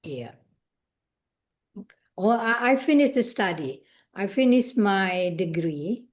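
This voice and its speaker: An older woman from Indonesia, whose first language is Indonesian, speaking haltingly and searching for words in an interview. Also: mid-range voice, expressive. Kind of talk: conversational interview.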